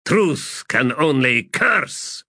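A man's voice: mid-range voice, very animated, drawn-out words, bright, forceful tone, no room sound, pitched above the performer's own voice, exaggerated voice. Male reader doing a drawling, sardonic priest, weary and tormented, dry sound.

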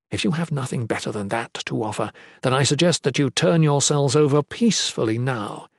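An imperious, unyielding commander acted by a male narrator speaks with formal, forceful scorn in a fantasy audiobook. His voice rises above the reader's usual pitch, in a dry recording. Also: dry recording, natural voice. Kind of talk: fantasy audiobook character reading.

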